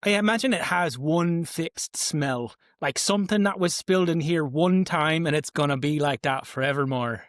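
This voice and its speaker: A man's voice: a little high, moderate pace, few pauses, no echo, clean studio sound. A man playing a tabletop role-playing game, adding casual descriptive banter in character and out.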